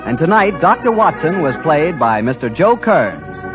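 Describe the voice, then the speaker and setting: A man's voice: a little high, very animated, moderate pace, loud music behind the voice. A man with an American accent working as a 1940s radio announcer, polished and salesmanlike. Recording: dull, lo-fi 1940s radio recording.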